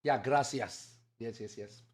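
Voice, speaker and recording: A man's voice: mid-range voice, a little slow. Joking Singaporean streamer guy. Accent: Singaporean English. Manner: joking, loose, swears casually. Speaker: live streamer. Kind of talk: casual chat with stream viewers.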